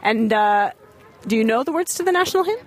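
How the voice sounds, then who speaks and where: A woman's voice: a little high, lively intonation, a little fast. A woman reporter asking short questions in street interviews outdoors. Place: outdoors at a gathering.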